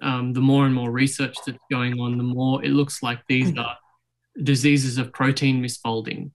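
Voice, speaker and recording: A man's voice: medium pitch, flat delivery, moderate pace, a little hiss or hum, low-quality recording. A young man hosting a podcast, asking an interview question over a video call from his room, with many ums and restarts. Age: young adult. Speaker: podcast host. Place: bedroom at home, indoors.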